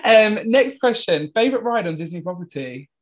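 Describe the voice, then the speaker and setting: A woman's voice: medium pitch, lively intonation, moderate pace. A British woman from the UK hosting a podcast interview, bubbly and gushing. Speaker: podcast host.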